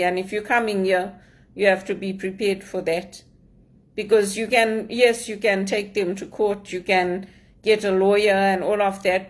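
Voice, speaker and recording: A woman from Zimbabwe in an earnest personal monologue, aggrieved, repeating phrases for emphasis. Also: mid-range voice. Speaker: immigrant sharing her story.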